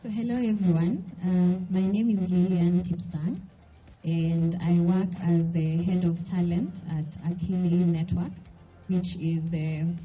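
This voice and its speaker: Panelist, woman, slightly low voice, chatty; muffled recording. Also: level tone, a little slow, soft background music. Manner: conversational, uses "you know, like". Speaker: panelist, head of talent. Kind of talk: panel talk. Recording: dull lo-fi event audio.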